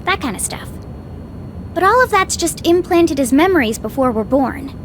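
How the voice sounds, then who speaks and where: A woman playing an excitable, romantic young woman in an anime game dub, with a gushing, dramatic way of talking. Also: high-pitched, moderate pace, a little hiss or hum. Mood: wistful, open.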